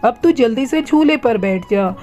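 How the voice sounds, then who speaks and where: A woman's voice: fairly high pitch, animated, moderate pace, warm tone, close to an everyday voice. A woman voicing a homely female crow neighbour in a cartoon, talking in a familiar, instructing way. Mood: cheerful, directing. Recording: faint music behind.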